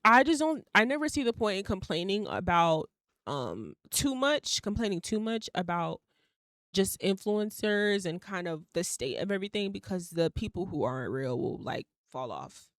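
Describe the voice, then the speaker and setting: Young woman in her twenties rambling on her own podcast, lots of likes and ums, smooth clear voice, dry close sound. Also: mid-range voice, big pitch swings, normal speed, a bit bright, no echo. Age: young adult. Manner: rambling, lots of fillers, swears casually, laughs mid-sentence. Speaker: podcast host. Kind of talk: casual solo podcast monologue.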